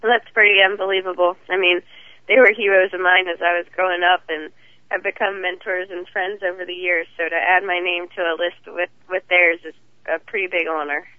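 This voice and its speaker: A hoarse woman with a cold, talking as the guest in a phone interview, chatty and rambling. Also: lowish voice, little pitch movement, fairly quick, dry recording. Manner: chatty, rambling, laughs easily. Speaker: guest champion driver. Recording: tinny phone line.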